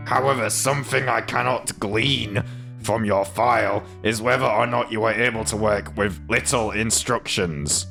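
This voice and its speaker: Very creaky, bright-voiced man reading game dialogue. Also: on the low side, moderate pace. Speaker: let's play co-host. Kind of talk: reading visual novel dialogue with joking ad-libs. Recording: music behind the voice. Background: guitar music.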